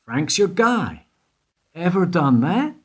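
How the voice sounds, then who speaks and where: Guy acting investigator in a radio play, needling and wry, raspy voice, really up and down in pitch. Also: very animated, slow, exaggerated voice. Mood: needling, insistent. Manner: probing, insistent, wry. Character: probing, wry male investigator questioning a witness.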